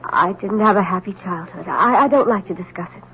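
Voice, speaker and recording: An actress playing a demure, guarded young woman with a dark secret, in a 1970s radio drama. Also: mid-range voice, a little fast, vocal fry, a little hiss or hum. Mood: coy, guarded. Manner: soft, coy, guarded. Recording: dull 1970s radio broadcast.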